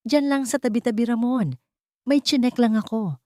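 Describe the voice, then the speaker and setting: A woman voicing a betrayed young woman in an audio story, restrained, pointed and quietly vengeful. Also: a little high, moderate pace, no room sound, clean studio sound, pitched above the performer's own voice, natural voice. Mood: coldly composed.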